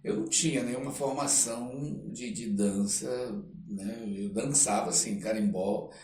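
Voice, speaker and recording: A Brazilian man from the Amazon telling anecdotes casually as an interview guest, acting out dialogue and often tagging phrases with "né". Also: medium pitch. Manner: animated, acts out dialogue, halting with "né" tags. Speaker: guest artist, actor telling life story. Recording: roomy echo, distant mic, faint noise.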